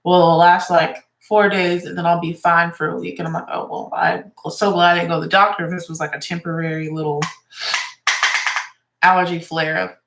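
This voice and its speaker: A woman's voice: on the low side, level tone, moderate pace, a bit bright, slight background noise. A young woman with a congested, stuffy voice, chatting casually and rambling as she does her makeup. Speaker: beauty vlogger and teacher. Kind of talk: casual get-ready-with-me chat. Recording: echoey room.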